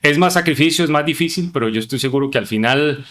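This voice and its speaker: A man's voice: on the high side, fast, fairly bright tone. A man with a Colombian accent answering interview questions as a podcast guest, explaining things conversationally and often tagging sentences with a questioning "¿no?". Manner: explanatory, frequent "¿no?" tags.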